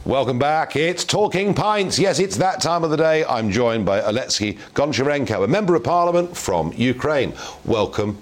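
A man hosting a broadcast talk show, giving opinions forcefully and interviewing a guest. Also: medium pitch, expressive, moderate pace, few pauses.

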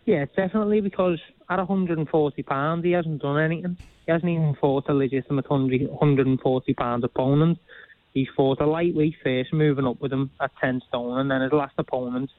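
A young man with a broad Liverpool accent talks casually and bluntly, laughing easily between points. His voice sits a little high. He comes through a tinny, dry phone line. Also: fairly even intonation, a little fast, no echo. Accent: Liverpool (Scouse). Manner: chatty, laughs often, plain-spoken. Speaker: boxer, phone-in guest. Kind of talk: casual interview chat.

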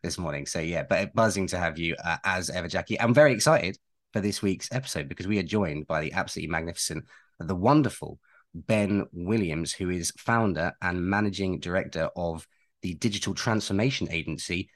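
A man's voice: sits low, fairly quick. A man with a Manchester accent co-hosting a podcast in a chatty, rambling, jokey way. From Manchester, England. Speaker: podcast co-host. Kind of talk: casual podcast chat.

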